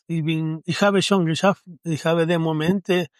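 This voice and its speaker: A middle-aged man from Ecuador speaks German with a Spanish accent, his first language Spanish. He talks haltingly, restarting phrases often, in a fairly high voice. The sound is dry and close. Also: steady tone, no echo. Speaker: restaurant owner. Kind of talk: casual interview.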